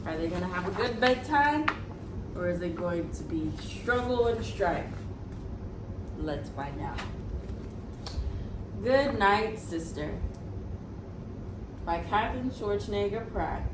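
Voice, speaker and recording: A woman's voice: medium pitch, lively intonation, slow pace, long pauses. A woman hosting a children's read-aloud at home, friendly and chatty as she reads a picture book. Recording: echoey room, distant mic, background noise.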